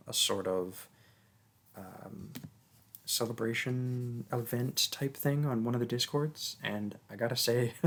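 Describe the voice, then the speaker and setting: Slow, hesitant man thanking listeners. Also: on the low side. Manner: hesitant, lots of ums, bashful laughs. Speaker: voice actor. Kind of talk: casual thank-you outro.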